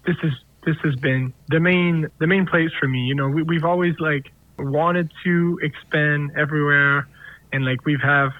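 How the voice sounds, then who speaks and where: A singer-songwriter whose first language is French, answering interview questions in a rambling way, full of fillers and restarts. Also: male voice, fairly high pitch, fairly even intonation, moderate pace, no echo. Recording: dry tinny phone line.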